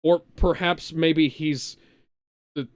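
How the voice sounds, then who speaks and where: Clean, smooth-voiced man chatting. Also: fairly high pitch, fairly even intonation, slow, dry recording, studio quality. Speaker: show host. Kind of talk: casual watch-along commentary chat.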